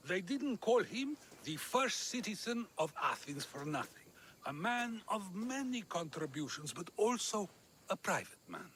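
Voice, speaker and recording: A witty ancient Greek sage from a video game, with a high, bright voice that moves freely up and down. He speaks in measured, eloquent phrases, philosophical and unflappable. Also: sing-song, slight background noise, full character voice. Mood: solemn, consoling. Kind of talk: dramatic video game cutscene acting.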